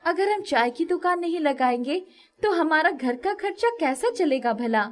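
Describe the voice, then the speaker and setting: A woman's voice: high voice, lively intonation, moderate pace, voice pushed up. A woman voicing a hardworking, firm, practical poor mother who sells tea in a cartoon moral story. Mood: firm, coaxing. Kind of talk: animated Hindi moral story acting.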